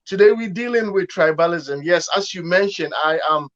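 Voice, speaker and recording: A man's voice: high voice, normal speed, a bit bright. A man from Ghana, a pastor speaking as a guest on an online panel, talking earnestly in a preaching manner and often repeating words as he starts phrases. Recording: muffled lo-fi call audio.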